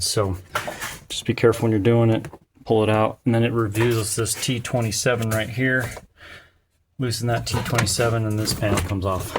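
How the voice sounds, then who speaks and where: A man with a fairly low voice talks through a hands-on installation job. He is plain-spoken and folksy, explaining casually one step at a time. There is clear noise behind his voice. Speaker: installer presenting a how-to. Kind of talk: casual hands-on tutorial narration. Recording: clear background noise, occasional clicks.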